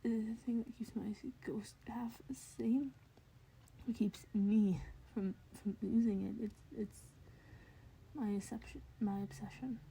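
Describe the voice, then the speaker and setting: Woman as a halting, husky teenage boy. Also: a little high, steady tone, mellow, exaggerated voice, low-quality recording. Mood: strained, confessional. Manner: halting, hesitant, repeats words. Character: guarded, awkward half-ghost teenage boy.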